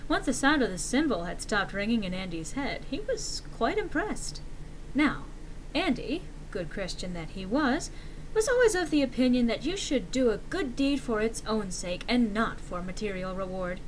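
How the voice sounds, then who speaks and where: Woman dramatically narrating horror, noisy recording. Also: fairly high pitch. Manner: theatrical, with deliberate dramatic pauses. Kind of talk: dramatic horror story reading.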